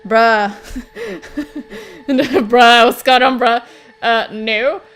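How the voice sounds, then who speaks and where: A woman's voice: a little high, extremely slow, a bit bright. A woman doing playful, jokey let's play commentary, swearing casually as she reads game text aloud. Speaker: gaming video host.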